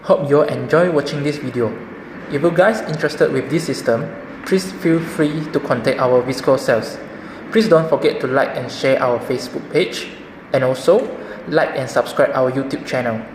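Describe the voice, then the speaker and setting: A man's voice: medium pitch, normal speed. Guy doing a simple product walkthrough, roomy echo, some noise behind him. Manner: simple step-by-step explaining. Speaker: product demonstrator. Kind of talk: product demo presentation. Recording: echoey reverberant room, background noise.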